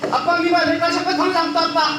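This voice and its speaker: A man's voice: extremely high pitch, flat delivery, racing. An actor playing an earnest, loyal working-class man in a stage play, repetitive, with a rural Maharashtrian accent. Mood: pleading. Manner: pleading, repetitive, rustic. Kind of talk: theatrical Marathi stage acting. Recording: noisy, echoey stage recording.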